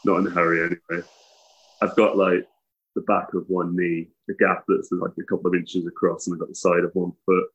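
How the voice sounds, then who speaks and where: A man's voice: deep voice, little pitch movement, brisk, slightly rough, lo-fi sound. A man from the Welsh border with a Midlands English accent, a podcast guest talking casually and self-deprecatingly with many fillers. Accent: Herefordshire, West Midlands English. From England, Welsh border near Hereford. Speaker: podcast guest, tattoo artist.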